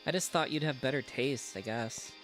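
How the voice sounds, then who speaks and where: Man reading as a reserved, deadpan young woman. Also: medium pitch, normal speed, close to an everyday voice. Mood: dry. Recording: clear guitar music behind. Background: guitar music.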